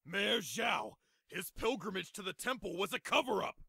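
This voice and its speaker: A man voicing an earnest, excitable panda general in a video game, formal and dramatic. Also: high voice, normal speed, vocal fry, husky, dry recording, studio quality, full character voice. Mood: alarmed.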